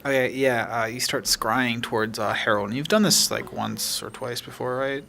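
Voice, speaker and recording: A man's voice: mid-range voice, normal speed, vocal fry, pushed and bright. A man acting as game master, improvising casual tabletop narration and searching for words as he goes.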